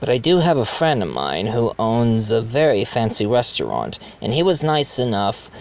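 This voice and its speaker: A man's voice: mid-range voice, huge pitch swings, dull audio, background noise. A man playing a genial but scheming wealthy host in an amateur murder-mystery audio drama, speaking formally and courteously. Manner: formal, deliberate, addresses guests courteously. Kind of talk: amateur audio drama acting, part narration.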